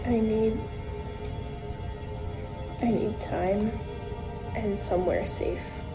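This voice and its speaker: An actress playing a weary, frightened woman seeking refuge, speaking quietly and haltingly in an audio drama. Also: mid-range voice, fairly even intonation, music in the background. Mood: fragile, grateful. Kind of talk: subdued fiction podcast acting.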